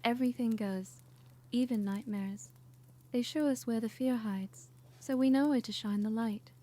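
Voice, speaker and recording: A woman playing a serene, dreamy, quietly wise girl in a fan audio drama, with a gentle manner. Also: fairly high pitch, fairly even intonation, moderate pace, close to an everyday voice.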